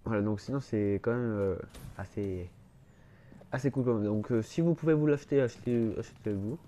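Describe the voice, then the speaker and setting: A man's voice: lowish voice, normal speed, dark tone, slight background noise. A man with a blocked, stuffy nose giving casual gameplay commentary, rambling and hesitant, full of fillers and restarts. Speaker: gaming YouTuber.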